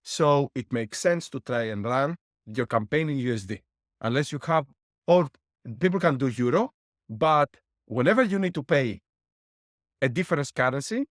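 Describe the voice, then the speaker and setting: A man's voice: medium pitch, expressive, moderate pace, no echo, studio quality. A middle-aged man from Greece, a guest explaining business lessons with worked numbers and a wry laugh. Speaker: guest, board game publisher. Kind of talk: interview answers.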